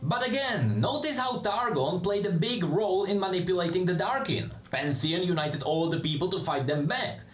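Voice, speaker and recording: A man's voice: high voice, animated, normal speed, hardly any pauses. A man giving a dramatic scripted narration of fantasy lore.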